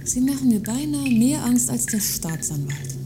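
An actress playing an earnest, scornful political activist in a radio play, with dry, sarcastic delivery. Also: female voice, fairly high pitch, very animated, moderate pace. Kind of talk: scripted radio play dialogue. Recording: music behind the dialogue.

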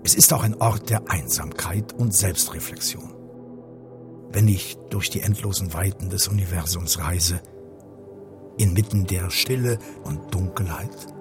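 An actor playing a pompous, macho spaceship commander in a German radio play, with grandiose, declamatory delivery. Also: male voice, sits low.

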